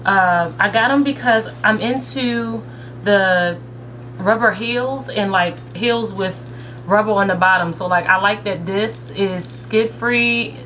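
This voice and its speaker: A woman's voice: medium pitch, takes it slowly, fairly bright tone. Young woman showing off her haul on a webcam, chatty and upbeat, a bit of faint hiss behind her. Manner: chatty, upbeat, addresses viewers as y'all. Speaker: haul vlogger. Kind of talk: casual shopping haul chat. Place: bedroom, indoors. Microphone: webcam at desk distance.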